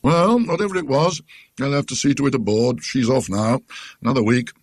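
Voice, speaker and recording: A man's voice: mid-range voice, normal speed, no echo, clean studio sound, natural voice. A British man voicing a plain-spoken, deferential ticket official in a ghost story, with colloquial turns of phrase. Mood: puzzled, offhand. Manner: deferential, colloquial, muttering asides.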